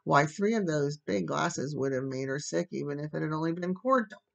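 A woman playing a stern, creaky older guardian woman. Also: low-pitched, animated, normal speed, highs cut off. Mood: exasperated. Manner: scolding, curt, no-nonsense.

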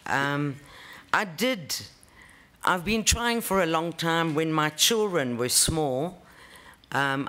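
Middle-aged South African woman, interview candidate; earnest and measured with ums, crisp bright voice. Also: sits low. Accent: South African English. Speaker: judicial candidate being interviewed. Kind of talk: formal interview answers. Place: large formal hearing room. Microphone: table microphone.

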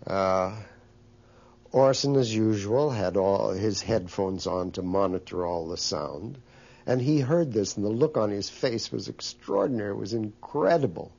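A man's voice: on the low side, animated, a little slow, creaky, a little mellow. A man recounting anecdotes as an interviewee, in a recollecting, storytelling style. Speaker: interviewee, former radio colleague. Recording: dull, muffled interview recording.

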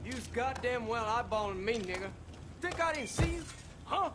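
High-pitched, sneering deputy thug. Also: male voice, animated, moderate pace, noisy background. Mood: aggressive. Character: sneering, menacing racist male deputy thug. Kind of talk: video game acting.

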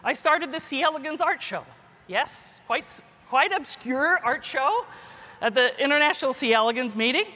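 A woman's voice: high voice, expressive, moderate pace, pushed and bright. A woman giving a conference keynote as a scientist and teacher, informal, often adding "right?" and "you know". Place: large conference hall. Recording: echoey hall, long reverb.

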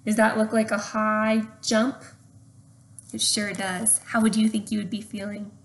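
A young woman doing an expressive read-aloud for children, with chatty questions to her listeners. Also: medium pitch, unhurried. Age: young adult. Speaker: storyteller reading to children. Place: open living room at home. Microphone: webcam at arm's length. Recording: slightly noisy home webcam recording.

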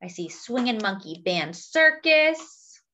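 Unhurried, higher-voiced woman reading to children. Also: highs cut off. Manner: expressive, exclaiming, with pauses for emphasis. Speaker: children's storybook reader. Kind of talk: read-aloud with commentary on pictures for young children.

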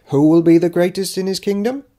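A man's voice: high voice, brisk, mellow, pitched above the performer's own voice, natural voice. A man reading an audiobook as Judas Iscariot, a self-important, grudging disciple keeping a diary, in a dry, theatrical, pompous manner. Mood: sardonic. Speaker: author reading own audiobook. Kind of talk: dramatic audiobook narration of a diary.

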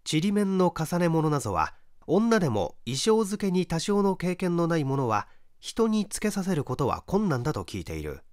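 A man reading a literary mystery as dramatised narration, in a formal, measured storytelling manner. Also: fairly high pitch, big pitch swings, darkish tone, no room sound, studio quality. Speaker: audiobook reader, stage actor.

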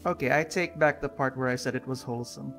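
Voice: medium pitch, moderate pace, music in the background. A gamer giving casual let's play commentary with wry, sceptical asides. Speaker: gaming video host.

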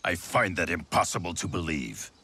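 A deep, gravelly warrior monk. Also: male voice, lively intonation, pushed and bright, slight background noise, exaggerated voice. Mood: wary. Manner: grave, measured. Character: grave male warrior monk, wary and stern. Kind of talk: video game cutscene acting. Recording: music score underneath.

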